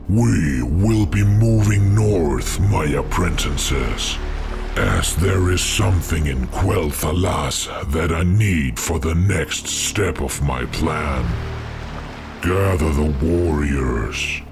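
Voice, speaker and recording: A man playing a scheming, menacing orc warlock in a fantasy game cutscene, with a gravelly, grandiose delivery. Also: takes it slowly, heavily acted. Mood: scheming, commanding. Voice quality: gravelly, very deep. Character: sinister, scheming male orc warlock commanding death knights. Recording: loud music under the voice.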